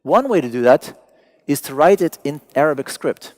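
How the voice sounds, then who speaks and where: A man's voice: a little high, expressive, moderate pace, a little mellow. A man, a native Persian speaker, giving a talk to an audience, wry and digressive with joking asides. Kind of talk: lecture to an audience.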